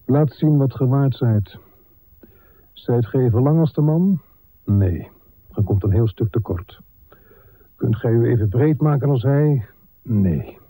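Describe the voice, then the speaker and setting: A man's voice: mid-range voice, dark tone, slightly rough, no room sound. An actor playing a pompous, scornful male chauvinist scientist in a radio drama, stern and hectoring. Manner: stern, hectoring, question-and-answer declaiming.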